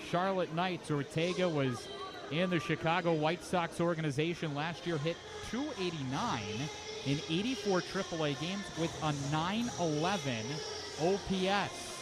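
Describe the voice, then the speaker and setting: A man calling live baseball on the radio as play-by-play announcer, switching between clipped pitch calls and flowing commentary. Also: unhurried, music in the background. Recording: clear outdoor ballpark noise.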